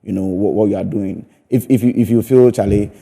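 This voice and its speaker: Fast-talking Ghanaian man, very dark mellow voice. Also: lowish voice, steady tone, gravelly, husky. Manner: emphatic, repeats words and phrases. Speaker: comedian and actor, interview guest. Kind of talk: conversational TV interview.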